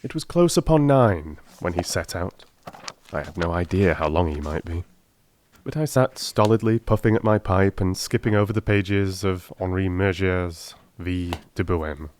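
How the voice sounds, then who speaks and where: A man's voice: sits low, animated, moderate pace, a little mellow, slight background noise. A man narrating an audiobook in the first person, reading scripted prose in a dramatic style.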